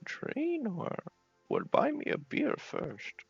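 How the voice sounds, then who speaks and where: A boozy old doctor from a fantasy game, pitched way up and loud. He rambles in a slurred, swooping drawl, and the sound is slightly dull. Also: wildly swooping pitch, slow, vocal fry, husky, dry recording, faint music behind, full character voice. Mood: demanding, tipsy. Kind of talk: video game character voice.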